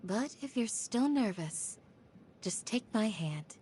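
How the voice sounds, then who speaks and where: A woman voicing a calm, reassuring guardian in a video game, with a soft, husky voice. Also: mid-range voice, slow, no echo. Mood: tender. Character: calm, reassuring, protective female desert guardian.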